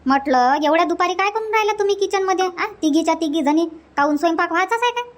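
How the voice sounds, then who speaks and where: A woman's voice: very high voice, animated, fast talker. A woman voicing a chatty, teasing village aunt in a comedy cartoon, exclaiming in a Varhadi Marathi accent. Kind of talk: cartoon comedy dialogue in rural dialect.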